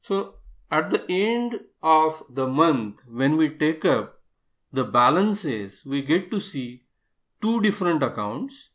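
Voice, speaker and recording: A man narrating an explanatory software tutorial as an instructor, methodical and step-by-step, stressing key terms. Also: on the high side, very animated, slow pace.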